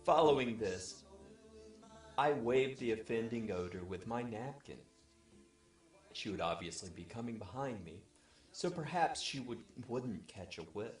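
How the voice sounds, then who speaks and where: A man's voice: medium pitch, expressive, measured pace, soft background music. Echoey man telling a comic bedtime story. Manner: mock-formal bedtime storyteller delivery. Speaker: storyteller, webcast regular. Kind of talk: scripted comic first-person storytelling. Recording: roomy, echoey voice recording.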